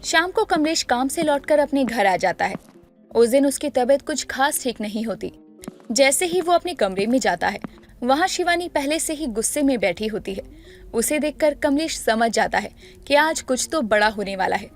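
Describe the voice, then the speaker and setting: High-pitched woman storyteller. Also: lively intonation, normal speed, faint music behind. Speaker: narrator. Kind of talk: scripted story narration.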